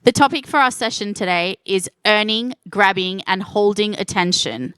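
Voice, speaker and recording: A woman's voice: mid-range voice, lively intonation, moderate pace, a bit bright, smooth, no echo. A woman hosting a live panel at a convention, upbeat and enthusiastic as she introduces guests and asks questions. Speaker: panel host and moderator. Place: convention stage.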